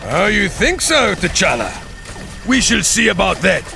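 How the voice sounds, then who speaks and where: A man playing a furious, defiant supervillain who shouts and snarls his lines. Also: sits high, sing-song, a little slow, creaky, heavily acted. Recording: loud music behind.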